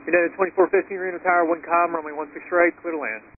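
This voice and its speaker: Rapid, higher-pitched male tower controller on radio. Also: steady tone. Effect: aviation radio. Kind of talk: radio air traffic instructions. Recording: tinny tower radio with faint noise.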